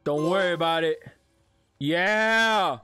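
A man giving excited gameplay reaction commentary, often shouting and repeating his phrases rapidly. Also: on the high side, smooth. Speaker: game streamer and video creator. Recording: loud game music behind. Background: video game music and sound.

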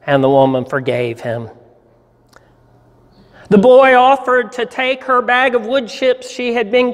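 Male storyteller, 60s, pastor, high-pitched for a man, measured dramatic delivery, reverberant hall. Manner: measured, deliberate storytelling with pauses. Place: church sanctuary, standing at a lectern.

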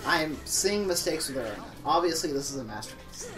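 Animated, mock-dramatic co-host, distant from the mic. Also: mid-range voice, a little fast. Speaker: let's play co-host. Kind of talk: dramatic fan fiction reading with joking asides. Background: game music.

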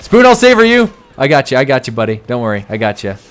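A man's voice: mid-range voice, fast, clean tone. A young man chatting casually and joking while playing a shooter game with a friend. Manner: animated, jokey, shouts in firefights. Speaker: gaming channel host. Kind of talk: casual co-op gameplay chat.

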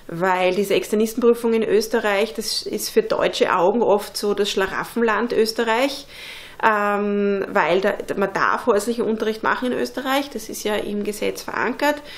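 A woman talks earnestly and thoughtfully, filling her pauses with small hesitations. Her voice sits at an ordinary middle pitch for a woman. She is recorded close up in an indoor workshop. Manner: earnest, thoughtful, many fillers. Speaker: interviewee, unschooling mother. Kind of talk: casual interview talk.

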